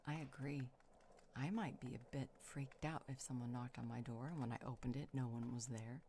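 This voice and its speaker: A woman playing a curious, gently teasing vampire healer in a conversational audio roleplay, musing and coaxing. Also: rumbling low, steady tone, normal speed, slight background noise.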